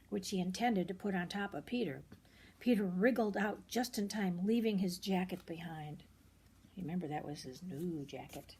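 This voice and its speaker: An older woman reads a children's picture book aloud expressively, pausing to explain things simply to young listeners. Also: fairly low pitch, a little mellow, a little hiss or hum. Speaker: storyteller grandmother reading aloud.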